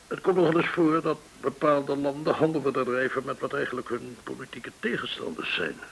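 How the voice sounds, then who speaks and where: An actor playing a courteous, shrewd, well-connected informant in a Dutch radio drama, speaking in a formal, deliberate way. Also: male voice, mid-range voice, vocal fry, a bit bright, low-quality recording. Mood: measured explaining. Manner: formal, measured, deliberate explaining. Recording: hissy old radio recording.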